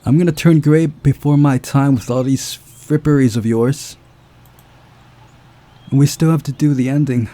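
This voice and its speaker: A man's voice: medium pitch, steady tone, moderate pace, long pauses, warm tone, pitched above the performer's own voice, close to an everyday voice. A man voicing an exasperated, bossy woman film director in a visual novel, scolding and weary.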